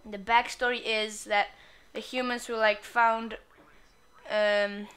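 A woman with a fairly high, bright voice commentates casually over her video game playthrough. She is playful and crude, swearing freely as she goes. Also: level tone, takes it slowly, slight background noise. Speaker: gamer recording a playthrough.

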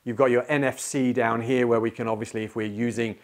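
A man in his forties presenting a tech product informally to camera, explaining as he goes with hesitant pauses, on a lapel mic in a small studio room. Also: mid-range voice, fairly even intonation.

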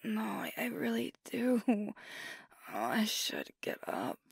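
A woman's voice: medium pitch, big pitch swings, slow pace, gravelly, slightly rough, dry recording, clean studio sound, heavily acted. A woman voicing a sleepy young werewolf girlfriend in an ASMR roleplay, talking in drowsy half-whispers with hesitations. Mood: sleepy, teasing.

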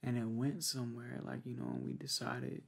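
Young guy doing asmr roleplay, soft creaky husky voice, laid back n hesitant. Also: mid-range voice, sing-song. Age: young adult. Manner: hesitant, halting, laid-back pillow talk. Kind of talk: ASMR roleplay, intimate soft casual talk. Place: small bedroom, lying on a bed.

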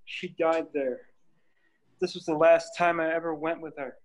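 A man's voice: on the high side, steady tone, measured pace, close to an everyday voice. A man reading the part of an overwhelmed, grieving widower at a sitcom table read over a video call. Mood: sad. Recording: muffled video call audio.

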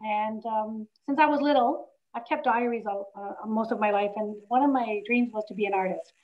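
Earnest higher-voiced woman in her sixties. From Greece, raised in Canada. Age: 60s. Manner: earnest, reflective, slightly halting. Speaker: guest artist. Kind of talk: personal storytelling on a webinar. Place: home living room, indoors. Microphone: video call, laptop camera. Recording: muffled lo-fi video call audio.

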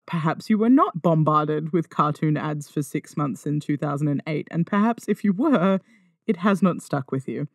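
A woman's voice: deep voice, lively intonation, normal speed, no echo, studio quality. A woman hosting a podcast solo in a casual, conversational way, wry and self-deprecating. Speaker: podcast host. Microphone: close podcast microphone.

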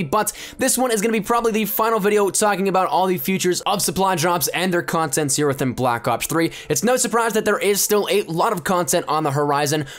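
A young adult man hosting gaming news commentary, talking in a wordy, practised presenter manner with stressed phrase endings. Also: high voice. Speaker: gaming video host. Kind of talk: upbeat gaming news commentary over gameplay.

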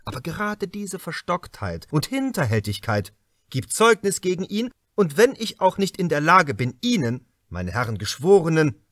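A severe prosecutor, played by a male audiobook reader, makes a grave formal accusation. The voice is a little high and swings strongly for emphasis, in a dry recording. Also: very animated, moderate pace, fairly bright tone, no room sound. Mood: grave, insistent.

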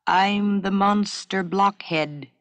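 A woman's voice: medium pitch, takes it slowly, dry recording, close to an everyday voice. A woman voicing a boy who plays a lumbering monster robot, in a hollow, stilted, mock-menacing way. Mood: mock menace.

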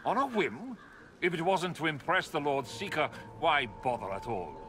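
A man's voice: fairly high pitch, sing-song, normal speed, noisy background. An actor playing a haughty, impatient nobleman in a video game, with a pompous, theatrical way of talking. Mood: scornful. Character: haughty, impatient male nobleman, contemptuous of underlings. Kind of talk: video game cutscene acting.

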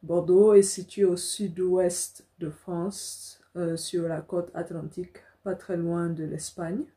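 Mellow-voiced young woman vlogging. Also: fairly low pitch, level tone, takes it slowly. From Africa. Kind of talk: casual personal storytelling to camera. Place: small furnished room indoors.

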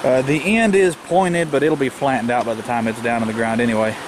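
A man's voice: mid-range voice, steady tone, fairly quick. A man giving a casual, unscripted hands-on tutorial, thinking aloud as he works outdoors. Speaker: DIY vlogger. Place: outdoors in a rural yard. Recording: noisy outdoor camcorder audio. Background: clear outdoor ambient noise.

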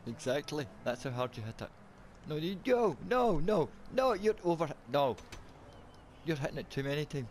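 A man's voice: fairly high pitch, big pitch swings, moderate pace. A man bantering casually over an online game, boisterous, sweary and giggly, on a noisy voice chat. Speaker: video game player.

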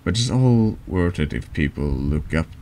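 A man's voice: a bass voice, lively intonation, moderate pace, mellow. A man voicing a snide, needling man in a confessional for a horror text game. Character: taunting, insinuating man in a confessional. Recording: noticeable background noise.

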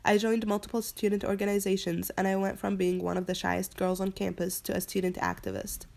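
A young woman from Palestine, a student, narrating her own immigration story in a quiet, reflective way. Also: medium pitch, brisk, vocal fry. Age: young adult. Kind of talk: personal narration.

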